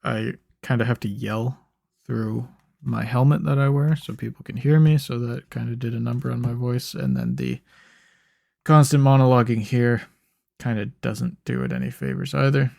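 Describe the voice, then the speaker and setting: Dude rambling over his game, kinda monotone, raspy fry, voice a little worn out. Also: moderate pace, no echo, studio quality. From Canada. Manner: monotone, low-key rambling. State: slightly hoarse. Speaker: gaming YouTuber. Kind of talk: relaxed gameplay commentary. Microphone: desk microphone.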